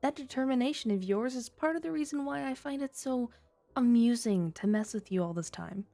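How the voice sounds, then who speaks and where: A woman's voice: a little high, animated, brisk, creaky, dark tone. A woman playing a flirty, taunting underworld rogue in an ASMR audio roleplay, with a teasing, sardonic drawl. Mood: teasing, seductive. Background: music.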